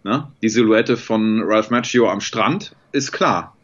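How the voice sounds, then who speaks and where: A man in his forties hosting an interview over a video call, talking in a relaxed, reflective way. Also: on the low side, takes it slowly, slight background noise. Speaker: podcast host. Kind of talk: casual video-call chat. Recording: slightly dull, lo-fi call audio.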